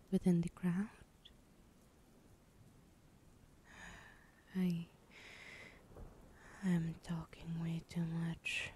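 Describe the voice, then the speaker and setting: Woman acting a slow, whispering, menacing villainess. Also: lowish voice, mellow. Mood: musing, suspicious. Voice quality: breathy, whispered. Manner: slow, taunting, condescending. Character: menacing, teasing female villain abductor with obsessive curiosity. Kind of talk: ASMR roleplay.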